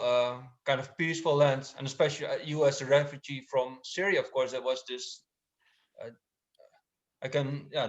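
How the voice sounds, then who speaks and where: A man moderates a discussion, asking his questions tentatively and with frequent fillers. His voice sits at a middle pitch. The recording has a slightly dull, muffled tone.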